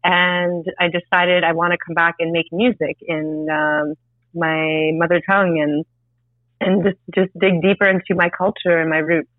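A woman's voice: on the low side, normal speed, no echo. Canadian woman musician on a tinny phone line. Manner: relaxed, warm storytelling with fillers. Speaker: musician guest. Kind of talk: interview over a phone line.

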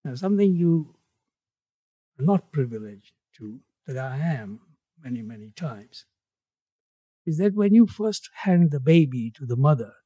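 Mellow, husky older man from Tanzania. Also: fairly high pitch, lively intonation, dry recording. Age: 80s. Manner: measured, voice drops away at phrase ends. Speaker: guest. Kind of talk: reflective interview conversation.

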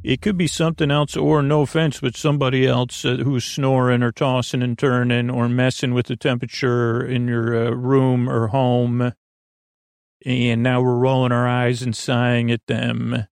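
Male sleep-podcast host: gravelly, creaky, close and dry, meandering and hesitant, soothing bedtime delivery. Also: mid-range voice, level tone, moderate pace, dry recording. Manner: meandering, hesitant, self-interrupting asides. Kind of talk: rambling, soothing bedtime monologue.

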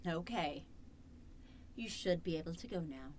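A woman playing a cold, controlling artificial intelligence in a horror radio drama, measured, commanding and possessive. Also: lowish voice, expressive, slight background noise. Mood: permissive. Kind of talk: old-time radio horror acting.

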